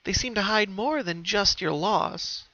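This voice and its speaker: A gentle old grandmother pony, acted out by a man for a story reading. The voice is raised high and soft, soothing and solemnly warm, with slow consoling phrases. The recording is dull and muffled. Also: no echo. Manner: slow, tender, consoling delivery.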